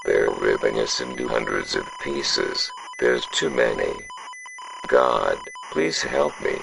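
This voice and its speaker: A man playing a terrified, doomed victim of a monster attack in a horror scenario, with a gravelly voice. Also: a bass voice, big pitch swings, takes it slowly, fairly bright tone, full character voice. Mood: despairing, terrified. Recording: distant voice with music behind.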